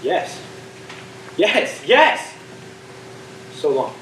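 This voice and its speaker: A man's voice: sits high, big pitch swings, very slow, fairly bright tone, exaggerated voice. A young man on stage playing a doomed, half-mad spaceman who declaims in a grand, exultant way. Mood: exultant, manic. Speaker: student stage actor. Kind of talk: theatrical stage monologue. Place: school theatre stage. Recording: echoey stage, distant mic, faint noise.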